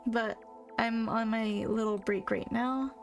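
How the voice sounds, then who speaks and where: A young woman talks casually while she plays, her voice slightly high and full of vocal fry. She speaks in a soft, fairly monotone way. Clear game music plays behind her. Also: takes it slowly. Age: young adult. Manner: soft-spoken, chatty, calls her voice monotone. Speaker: streamer. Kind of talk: casual live-stream chat while gaming.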